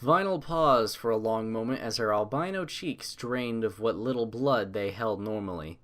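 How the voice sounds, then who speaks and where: A man's voice: on the low side, moderate pace, a bit bright. A man narrating a scripted story as an audiobook reader.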